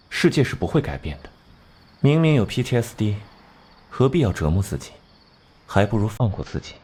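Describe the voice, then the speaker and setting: An actor voicing a cold, brilliant male detective in an animated drama, speaking with condescending, literate composure. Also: medium pitch. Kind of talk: Chinese animation dubbing.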